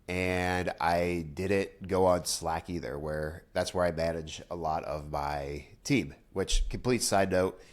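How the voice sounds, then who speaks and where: A young man with a congested, nasal voice, talking casually and candidly to camera about his business, with frequent fillers and restarts. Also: sits low. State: nasal, congested after nose surgery. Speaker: business owner vlogger. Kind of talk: casual direct-to-camera talk.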